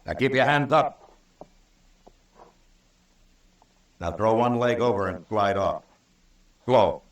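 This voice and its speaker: A man playing a stern, weary Old West lawman in a radio western, speaking in measured, terse lines with dry sarcasm. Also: lowish voice, animated. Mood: commanding. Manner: measured, terse, dryly sardonic. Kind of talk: old-time radio western acting and narration. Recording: distant, roomy old radio recording.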